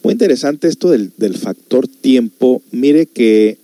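A man's voice: mid-range voice, animated, a little slow, mellow, dry recording. A man hosting a show, talking casually to listeners in a musing, conversational way with rhetorical questions. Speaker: host of a music and reflection show.

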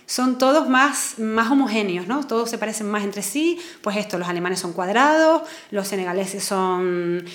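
A woman's voice: medium pitch, animated, normal speed, few pauses. A woman with a Canarian Spanish accent, co-hosting a podcast and explaining ideas while often checking in with the listener. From Canary Islands. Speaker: podcast co-host. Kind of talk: casual explanatory conversation. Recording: roomy echo.

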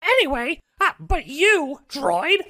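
A performer voicing a gleefully mean male cartoon mouse crime boss in a parody radio drama, squeaky, giggly and taunting. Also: sing-song, slow pace, pushed and bright, heavily acted. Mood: sly, teasing. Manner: mocking, taunting.

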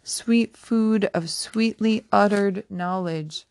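A woman's voice: mid-range voice, slow pace, darkish tone, pitched above the performer's own voice. A woman reading the part of a smug, pompous fire captain from a novel, theatrical and mocking. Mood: grandly mocking. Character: smug, taunting fire captain, a man who loves quoting.

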